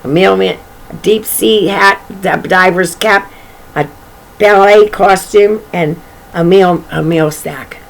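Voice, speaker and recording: A woman's voice: fairly low pitch, takes it slowly, gravelly. A woman reading a children's storybook aloud in an informal way, with chatty, folksy asides about the pictures.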